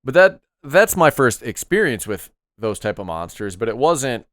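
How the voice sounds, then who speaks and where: A man's voice: medium pitch, very animated, normal speed, a bit bright, dry recording, studio quality. A man hosting a podcast, chatting casually about retro games in an upbeat, agreeable way and asking his co-host questions.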